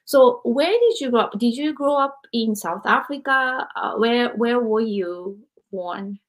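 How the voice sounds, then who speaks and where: A woman's voice: fairly high pitch, lively intonation, unhurried. A woman in her forties from Japan, hosting a podcast interview and reflecting aloud, often saying "like" and "you know". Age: 40s. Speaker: podcast host. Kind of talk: interview conversation.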